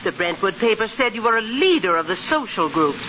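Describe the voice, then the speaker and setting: A woman's voice: medium pitch, sing-song, a little fast, lightly acted. Genteel older aunt for vintage radio comedy female actor: fussy, precise, swooping intonation, faint hiss. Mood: earnest. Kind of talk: 1940s radio comedy acting. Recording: muffled hissy 1940s radio recording.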